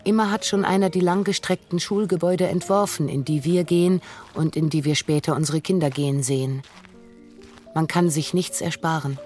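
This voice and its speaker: Actress as pensive woman with low, mellow voice. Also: steady tone, moderate pace, faint music behind, natural voice. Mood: pensive, resigned. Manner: reflective, insistent. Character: pensive, disillusioned woman philosophizing. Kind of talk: literary radio drama monologue.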